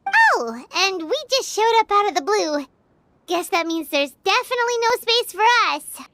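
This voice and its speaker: A woman voicing a small, cheerful and curious girl companion in a game, in a high, bright voice. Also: very animated, moderate pace, dry recording, exaggerated voice. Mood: sheepish. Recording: dry studio voice-over.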